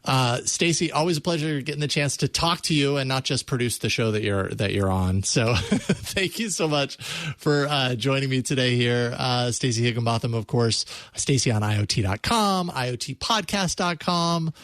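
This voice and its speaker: A man hosts a tech show in a casual, conversational style. His voice sits at a medium pitch and his phrasing halts and restarts as he thinks. The sound is close and dry, with little room in it. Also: normal speed, a bit bright, no room sound. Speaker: podcast host.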